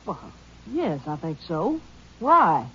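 A woman's voice: on the low side, big pitch swings, takes it slowly, a little hiss or hum. A middle-aged woman playing a worried rural mother in an old radio drama, folksy and anxious. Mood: defensive. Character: worried rural mother defending her son. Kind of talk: 1950s radio acting. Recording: dull old radio recording.

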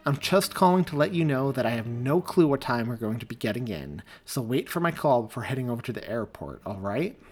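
A man voicing a tired, frazzled mother who sounds exasperated and sharp. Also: medium pitch, sing-song, a little fast, hardly any pauses, breathy edge.

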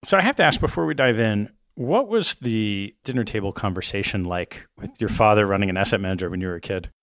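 A man with a fairly low voice hosts an interview podcast. He puts questions conversationally, and the sound is very dry, with no room around it. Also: expressive, fast, fairly bright tone, no echo.

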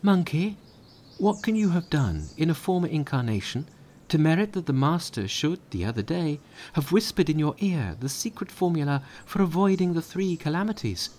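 A man's voice: on the high side, big pitch swings, normal speed, darkish tone, voice pushed up. A man voicing curious young male disciples in a storybook reading, raised and eager.

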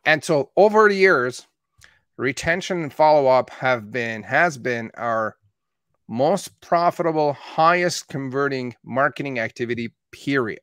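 A man in his thirties, a marketing agency owner from Canada who was born in Iran, telling his story in steady, matter-of-fact conversation. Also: medium pitch, unhurried, dry recording. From born in Iran, raised in Canada. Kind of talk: casual conversation.

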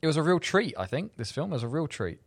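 A man's voice: a little high, big pitch swings, quick pace, husky, no echo. A man chatting casually as a film podcast panelist, enthusiastic and emphatic. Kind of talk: casual chat.

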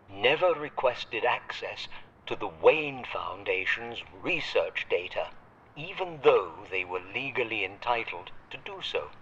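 Man playing slow, raspy old butler, radio-filtered. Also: on the low side, fairly bright tone, dull audio, heavily acted. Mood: formal, solemn. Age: older. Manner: formal, measured, deferential yet tender. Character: devoted old butler, fatherly, sentimental, formally polite. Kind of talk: audio drama acting.

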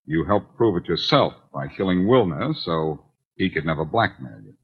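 An actor playing a smooth, cocky detective in 1940s radio style, with wry, taunting, self-assured delivery. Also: male voice, deep voice, expressive, normal speed, heavily acted. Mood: confident. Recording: dull, lo-fi 1940s radio recording.

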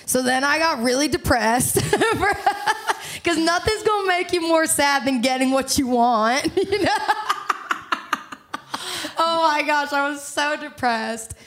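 Bubbly young woman, higher voice. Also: takes it slowly. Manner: candid, laughs often, animated storytelling. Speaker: singer-songwriter guest. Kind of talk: casual interview talk.

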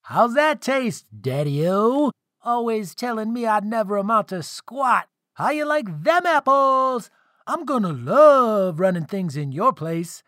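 Man voicing a high-pitched gloating young fire spirit. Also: big pitch swings, slow, a bit bright, no echo, clean studio sound, heavily acted. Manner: cocky, gloating. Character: gleeful, taunting young son of a fire spirit.

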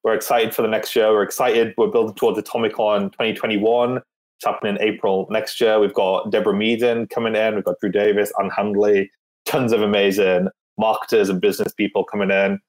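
A man guest on a podcast, talking in an upbeat, energetic way about what his team is running next. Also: fairly low pitch, a little fast. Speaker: guest, business event organizer. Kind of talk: casual interview talk.

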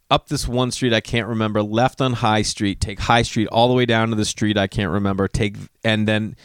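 A man's voice: on the low side, level tone, measured pace, smooth, no echo, clean studio sound. A man in his forties telling a rambling personal story, often losing his thread and correcting himself. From Massachusetts. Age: 40s. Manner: digressive, self-correcting, swears casually.

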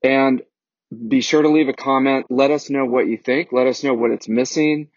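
A man delivering a casual, energetic promotional announcement for his show. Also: medium pitch, normal speed, dry recording, dull audio.

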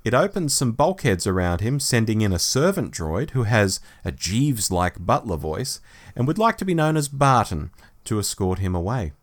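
Male podcast host, scripted recap narration, very animated pitch movement, deliberate headings. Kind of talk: scripted plot summary narration.

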